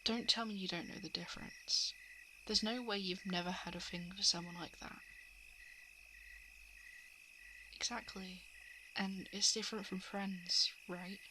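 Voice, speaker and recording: Woman acting as soft, hesitant shapeshifter posing as a crush, crickets behind. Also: medium pitch, fairly even intonation, moderate pace, noisy background, close to an everyday voice. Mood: wistful confessing. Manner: soft, hesitant, often slipping into whispers. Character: shapeshifting monster posing as a lovelorn crush, coaxing. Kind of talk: ASMR roleplay, intimate confession. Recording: night insect and frog ambience. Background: crickets, frogs and insects.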